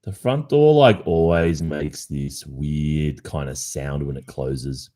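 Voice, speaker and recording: A man's voice: rumbling low, slow, dark tone. A man with an Australian accent acting a cheating, casually evasive young boyfriend in an ASMR roleplay, speaking conversationally with hesitations. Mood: playful, dismissive. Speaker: roleplay performer.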